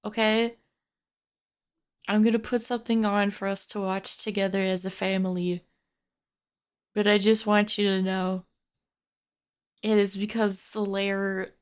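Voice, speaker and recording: Quiet, playful young woman streamer. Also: medium pitch. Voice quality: quiet, soft voice. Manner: playful, giggly, swears freely, chatty with viewers. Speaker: VTuber streamer. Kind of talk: casual live-stream chat while drawing. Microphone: close desk microphone, keyboard clicks audible.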